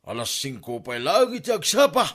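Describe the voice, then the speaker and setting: A man's voice: fairly high pitch, very animated, normal speed, background noise. A man playing an older, teasing village uncle with an Ilocano accent in a comedy drama. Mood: curious.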